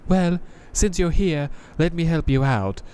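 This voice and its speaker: Casting: male performer as jaded woman knight in a game, high-pitched, sardonic, taunting, contemptuous. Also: lively intonation, measured pace, warm tone, clean tone. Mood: dry, exasperated. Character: contemptuous, mocking, jaded female knight by a bonfire. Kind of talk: visual novel character reading.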